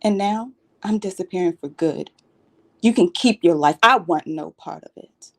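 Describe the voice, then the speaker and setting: A woman's voice: fairly low pitch, normal speed. A woman performing a dramatic monologue as a hurt, angry grown daughter, accusing and emphatic, walking away from a neglectful parent. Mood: angry, final.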